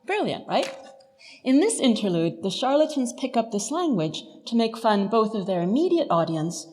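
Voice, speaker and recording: Female professor, scripted scholarly talk, expressive mid-range voice, reverberant space. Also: on the high side, normal speed, faint music behind. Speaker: lecturer, professor. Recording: reverberant hall.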